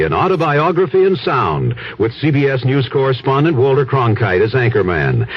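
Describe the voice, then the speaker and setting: Animated American promo announcer, husky man. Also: medium pitch. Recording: dull 1970s radio broadcast.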